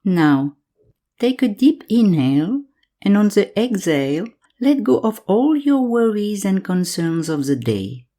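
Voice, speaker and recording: A woman guiding a yoga nidra meditation in calm, measured instructions, leaving pauses between phrases. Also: medium pitch. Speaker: meditation guide.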